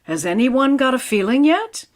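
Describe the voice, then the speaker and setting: Woman playing a theatrical, high-voiced radio comedy schoolteacher. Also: big pitch swings, normal speed. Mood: playful hostess. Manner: theatrical, declaiming, exclamatory. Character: theatrical, wry schoolteacher, eager party performer and storyteller. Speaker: lead actor in a radio comedy. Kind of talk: 1940s-50s radio comedy acting.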